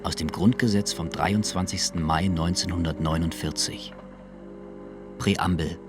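A man's voice: low-pitched, fairly even intonation, unhurried, music in the background. A man formally reading an official historical document in a solemn, scripted manner.

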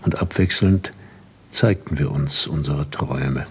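An aging, devoted husband in a literary radio drama. His voice is deep and very breathy-rough. He speaks gravely and gently, weighing each phrase. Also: unhurried, full character voice. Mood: wistful. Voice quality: husky.

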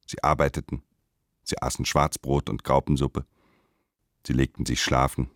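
A man's voice: extremely low pitch, level tone, moderate pace, fairly bright tone, dry recording, studio quality. A man reading a scripted literary radio play narration in clipped, terse phrases.

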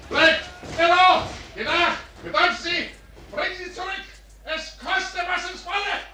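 A man's voice: sits high, vocal fry, bright, forceful tone, full character voice. A man acting a proud, betrayed older general in declamatory classical verse for a 1950s radio drama. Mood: agitated, commanding. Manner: declamatory, theatrical. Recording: echoey, noisy 1950s radio recording.

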